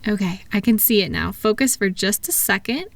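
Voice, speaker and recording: A woman playing a kind, patient therapist in a relaxation roleplay audio, speaking softly and gently in an unhurried, reassuring way. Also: mid-range voice, creaky, no room sound, close to an everyday voice. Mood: calm, reassuring. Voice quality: soft-spoken, gentle. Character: kind, patient female thought-calming therapist soothing a client. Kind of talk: soft-spoken roleplay relaxation audio for sleep.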